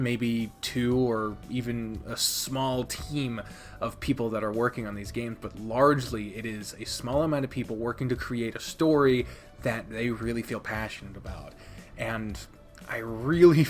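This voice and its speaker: A man hosting a casual countdown video, talking informally and emphatically with plenty of swearing. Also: medium pitch. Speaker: video host, visual novel reviewer. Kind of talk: casual scripted top-ten countdown commentary.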